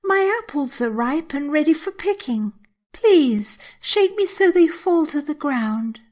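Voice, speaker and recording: A woman's voice: sits high, animated, measured pace, darkish tone. A woman voicing a kindly, pleading talking apple tree in a children's fairy tale. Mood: pleading, urgent.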